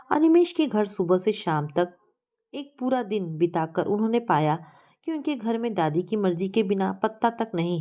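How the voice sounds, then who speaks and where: A woman's voice: mid-range voice, warm tone. A woman narrating a scripted story as a storyteller.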